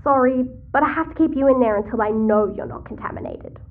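A woman's voice: high voice, quick pace, phone-quality sound, close to an everyday voice. An actress playing a chatty, cheeky, nosy teenage girl in an audio sci-fi drama. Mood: teasing. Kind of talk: audio-fiction drama dialogue.

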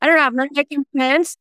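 A woman's voice: high-pitched, fairly bright tone, no echo, clean studio sound. A woman giving conversational interview answers in a chatty, self-deprecating way. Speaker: guest singer-songwriter and novelist.

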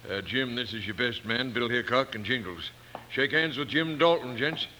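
A man's voice: mid-range voice, normal speed, bright, forceful tone, close to an everyday voice. A man playing an uneasy, polite rancher in a western radio drama. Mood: flustered, welcoming. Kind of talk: 1950s western radio acting. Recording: slightly noisy old radio recording.

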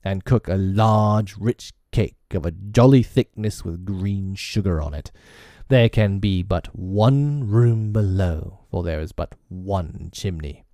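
A man voicing a proud, brooding, vengeful pirate captain from a storybook, with slow, drawn-out, dramatic delivery. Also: low voice, expressive, mellow, no room sound, clean studio sound. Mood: scheming.

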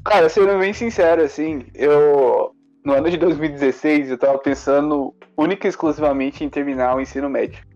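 A man's voice: a little high, fairly quick, lo-fi sound, soft background music. A man in his twenties, co-host and interview guest on a casual podcast, candid and a little nervous. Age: 20s. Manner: relaxed, candid, a bit nervous. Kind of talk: casual chat.

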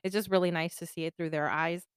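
Smooth-voiced woman podcast co-host. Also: lowish voice, fairly even intonation, fast talker, dry recording, clean studio sound. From Puerto Rico. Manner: laughs often, bilingual code-switching. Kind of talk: casual chat.

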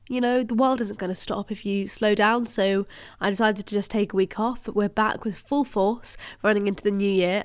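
A woman's voice: medium pitch, no echo, studio quality. A young woman hosting a podcast in a chatty, casual way, full of filler words. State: blocked nose, slightly nasal. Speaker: podcast host. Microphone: video call.

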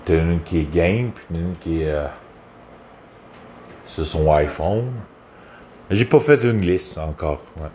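A man's voice: low-pitched, slow, long pauses, a little mellow, a little hiss or hum. A man from Quebec with a Québécois accent, giving a casual, rambling rant in a crude, profane, hesitant way. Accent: Québécois French.

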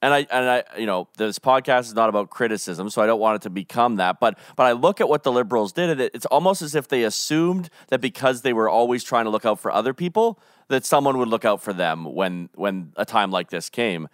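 Man, Canadian accent, podcast host, casual political chat, mid-pitched voice, dry recording. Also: animated, a little fast, hardly any pauses, smooth, no echo.

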